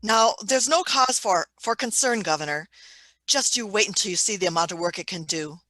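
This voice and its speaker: Obsessive scientist female performer, radio play. Bright, eager, theatrical, dry close sound. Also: mid-range voice, expressive, moderate pace, dry recording, poor audio. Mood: excited. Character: excitable, obsessive scientist, defensive about the experiment. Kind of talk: scripted radio play reading.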